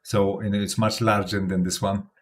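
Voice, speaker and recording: Host, male, low-ish voice, confident rambling crypto livestream chat. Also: little pitch movement, normal speed. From Poland. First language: Polish. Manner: rambling, self-assured, laughs often, restarts words. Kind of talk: casual livestream commentary.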